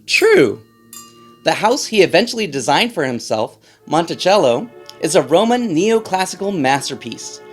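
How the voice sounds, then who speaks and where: A man reads a picture book aloud for young children with a very lively, swooping delivery. His emphasis is playful and theatrical, and he speaks straight to his listeners. Faint music plays behind him. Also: fairly high pitch, moderate pace, husky.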